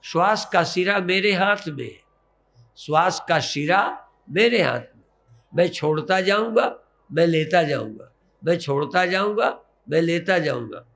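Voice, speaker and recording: Slow-spoken man with a creaky voice, guiding meditation. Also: high-pitched, lively intonation. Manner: gentle coaxing with short repeated phrases. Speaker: spiritual teacher leading meditation. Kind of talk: guided breathing meditation and spiritual discourse.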